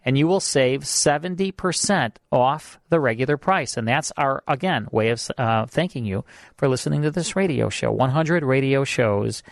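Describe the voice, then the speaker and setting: A man's voice: mid-range voice, animated, moderate pace, creaky, no room sound. American male radio host, dry studio sound. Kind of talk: conversational radio presenting.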